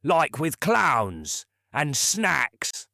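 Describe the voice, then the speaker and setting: A woman's voice: low voice, very animated, very slow, gravelly, sharp tone, no room sound, clean studio sound, exaggerated voice. A woman voicing an eager, bouncy male bulldog in a children's story, barking out short, simple phrases.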